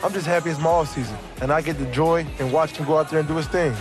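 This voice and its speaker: Highish-voiced American man talking soccer. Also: steady tone, rapid-fire, music in the background. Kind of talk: enthusiastic interview commentary.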